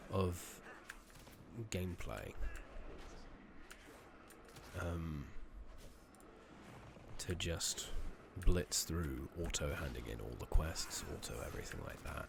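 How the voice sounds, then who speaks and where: A soft-spoken British man giving casual, rambling ASMR commentary over a game, sometimes near a whisper, with hesitant pauses as he muses. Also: sits low, vocal fry. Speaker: streamer playing an online fantasy game. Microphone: close makeshift microphone. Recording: noisy, slightly loud close microphone.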